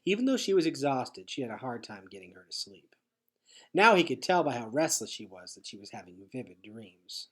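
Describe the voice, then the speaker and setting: A man with a husky voice reading a children's novel aloud as expressive storybook narration. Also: mid-range voice, brisk. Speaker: teacher reading a chapter aloud.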